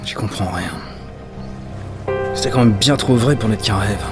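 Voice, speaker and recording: An actor playing a tired, anxious dockworker in a fantasy audio drama, with a hesitant, stammering way of talking. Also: male voice, lowish voice, moderate pace, music in the background, lightly acted. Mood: troubled, puzzled. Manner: hesitant, stammering, muttering to himself. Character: weary, anxious male dockworker in a fantasy port.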